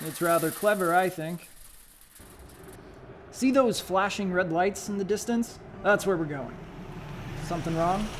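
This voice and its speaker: A calm government scientist in a radio drama, played by a man, with a fairly high male voice. He explains things in a matter-of-fact way. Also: a little slow, pauses often, background noise. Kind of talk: dramatized radio play acting.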